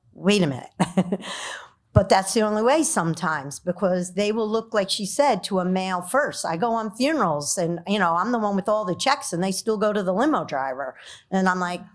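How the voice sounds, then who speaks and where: A woman speaking on a business panel, a funeral director with a loud voice and a brisk, animated way of talking that she says she has learned to slow down. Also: on the low side. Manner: brisk, animated, laughs between points. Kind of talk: panel discussion.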